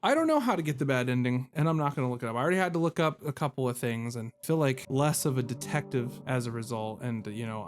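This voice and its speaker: A man giving casual let's-play commentary, thinking aloud in a rambling way with self-deprecating jokes. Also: medium pitch. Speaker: video game streamer. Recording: music behind the voice. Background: game music.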